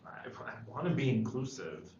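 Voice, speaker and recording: In a dull, echoing room, a man plays an idealistic, troubled high school boy. His delivery is lively in pitch, earnest and quick to argue, as in a drama table read. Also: natural voice. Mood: apologetic. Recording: dull, echoey room with background noise.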